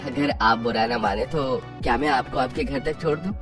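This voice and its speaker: A woman's voice: very deep voice, normal speed, recorded from a distance, pitched below the performer's own voice. A woman playing a polite, earnest and devoted young man in a moral story. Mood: friendly, polite. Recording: clear music under voice.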